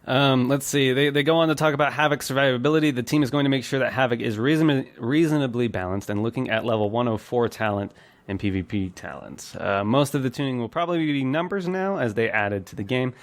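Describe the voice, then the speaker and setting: A man's voice: medium pitch, quick pace, no echo. Chatty man hosting, close dry sound. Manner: chatty, laughs easily while explaining. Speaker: podcast host. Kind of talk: casual gaming podcast commentary.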